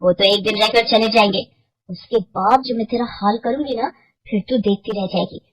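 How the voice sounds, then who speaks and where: A woman voicing a scheming, bullying young village daughter-in-law for a cartoon story, with a sharp manner. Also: mid-range voice, normal speed, close to an everyday voice, low-quality recording. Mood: menacing, scornful.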